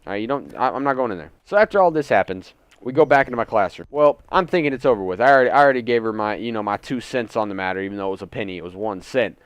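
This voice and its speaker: A man's voice: fairly low pitch, lively intonation, racing, few pauses, clear, resonant tone, no echo. A young man telling a casual, indignant, sweary story for his gaming channel. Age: teens to young adult. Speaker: gaming YouTuber. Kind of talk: casual story time over gameplay. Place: indoors at home.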